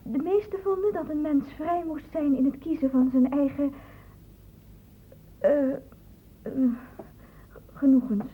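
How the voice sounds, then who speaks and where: High, anxious young woman. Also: steady tone, measured pace, pauses often. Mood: hesitant, explaining. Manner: hesitant, subdued. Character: anxious, cooperative young woman confessing to a doctor. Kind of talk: Dutch radio play acting. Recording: muffled old radio play recording.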